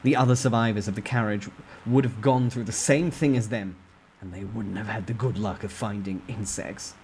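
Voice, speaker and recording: A man's voice: fairly low pitch, fairly even intonation, normal speed, a little mellow, a little hiss or hum, poor audio. A man reading a novel aloud as a dramatic first-person narrator.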